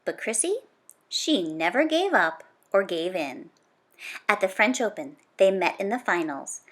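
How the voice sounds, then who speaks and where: Animated woman reading a storybook aloud. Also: mid-range voice. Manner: dramatic, emphatic delivery with punchy exclamations and drawn-out key words. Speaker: storybook read-aloud reader. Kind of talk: expressive read-aloud narration of a children's nonfiction book.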